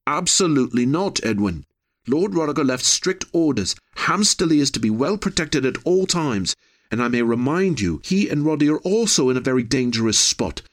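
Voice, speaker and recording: A man's voice: medium pitch, animated, normal speed, voice pushed up, lightly acted. A man voicing a stern formal steward. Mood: firm. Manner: quiet, firm, formal refusal. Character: stern, formal older steward, firm and quiet.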